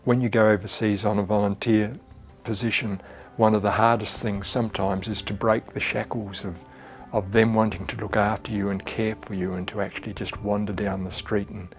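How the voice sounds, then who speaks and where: An older man calmly describing months of volunteer teaching with his wife. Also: lowish voice, moderate pace, faint music behind. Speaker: church volunteer. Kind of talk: interview testimony.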